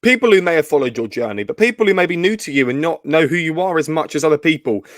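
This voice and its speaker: A man hosts an interview show, rattling off long framing questions at a quick pace. His voice sits a bit high. The sound is dry and close. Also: expressive, fairly bright tone, dry recording. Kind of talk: interview hosting.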